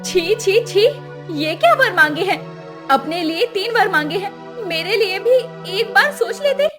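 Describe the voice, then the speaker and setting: Lady doing a fed up poor wife in a cartoon, super high voice, nags and complains. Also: animated, unhurried, music in the background. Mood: scolding, exasperated. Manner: complaining, scolding, plaintive. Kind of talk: Hindi cartoon story voice acting.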